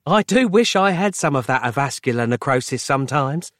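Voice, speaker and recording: A man's voice: mid-range voice, animated, brisk, fairly bright tone, dry recording, clean studio sound, pitched above the performer's own voice, natural voice. A man voicing a chatty, gushing woman receptionist in a comic thriller audiobook. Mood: gleeful, wistful.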